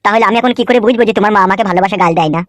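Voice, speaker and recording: A man voicing a lovesick, foul-mouthed young husband in a comedy cartoon, high-pitched and melodramatic, pleading and grumbling. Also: rapid-fire, a bit bright, no echo. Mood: aggrieved, ranting. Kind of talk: amateur comedy cartoon dubbing. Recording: music under the voice.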